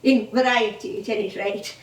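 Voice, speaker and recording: An actress playing an older music hall performer on stage, chatty and hesitant, eager and a little wistful. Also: female voice, mid-range voice, steady tone, moderate pace, natural voice. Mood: chatty, fond. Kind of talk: theatre acting with music hall singing. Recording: roomy theatre stage, distant microphone.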